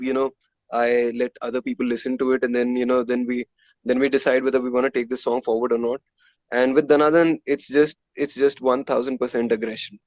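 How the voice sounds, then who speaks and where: A man's voice: mid-range voice, darkish tone, no echo. A man with an Indian accent answering candidly as an interview guest, conversational and emphatic, with frequent filler phrases. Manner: emphatic, leans on filler phrases like "you know".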